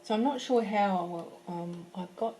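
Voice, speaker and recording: A woman's voice: mid-range voice, unhurried, heavy background noise. A woman teaching a live painting class from her home, chatting casually as she works and thinking aloud with fillers and restarts. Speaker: art teacher. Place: home in a French village.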